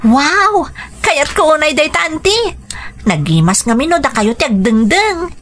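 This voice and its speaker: Cheerful dutiful young daughter, radio drama, northern philippine accent, voice up high. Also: female voice, big pitch swings, unhurried, a bit bright, exaggerated voice. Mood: eager, bright. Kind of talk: Ilocano radio drama acting.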